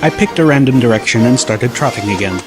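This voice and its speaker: A man's voice: medium pitch, quick pace. A man reading scripted first-person story narration as an audiobook narrator. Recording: loud music bed under narration. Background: music.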